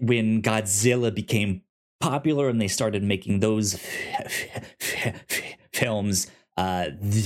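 A man chatting casually as a podcast co-host, often stammering and repeating sounds and the starts of words. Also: low-pitched. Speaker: podcast co-host pitching films and comics.

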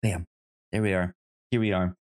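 A man hosting a podcast in a casual, chatty style, sometimes halting and repeating words as he searches for phrasing. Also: low voice, fairly even intonation. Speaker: podcast host.

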